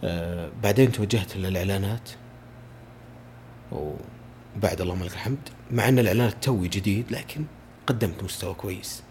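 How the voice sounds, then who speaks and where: A man's voice: low-pitched, fairly quick, a little mellow, a little hiss or hum. A man, a voice-over artist chatting eagerly as a podcast guest. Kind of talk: casual conversation.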